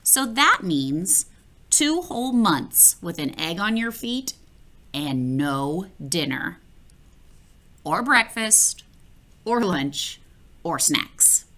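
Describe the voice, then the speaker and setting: A woman reading a picture book aloud for children, playful and expressive, talking straight to the listener. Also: fairly low pitch, drawn-out words, long pauses. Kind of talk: read-aloud storytelling for children.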